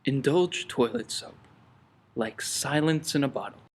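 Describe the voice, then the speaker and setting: A man's voice: mid-range voice, expressive, measured pace, a little hiss or hum, lightly acted. A man playing a mock-grand radio advertisement announcer in a parody radio play, selling with theatrical polish. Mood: salesy.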